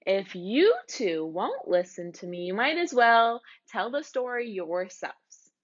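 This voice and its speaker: A woman's voice: mid-range voice, big pitch swings, takes it slowly, muffled recording. A woman voicing an exasperated, fussy storybook narrator who scolds and argues with children. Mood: huffy. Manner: indignant, insistent, scolding. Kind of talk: storybook read-aloud.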